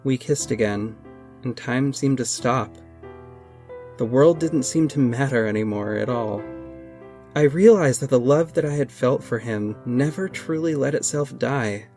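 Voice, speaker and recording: A man's voice: medium pitch, a little slow, darkish tone, close to an everyday voice. An actor playing an earnest masked superhero who narrates his own adventures in an audio drama. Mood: earnest, dramatic. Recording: music under the voice. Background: music.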